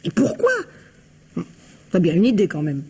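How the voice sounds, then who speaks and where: A woman's voice: on the low side, wildly swooping pitch, moderate pace, mellow, husky, exaggerated voice. A woman acting as an inquisitive, sceptical confidante in a French radio mystery, questioning in a familiar, challenging way. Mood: curious, sceptical.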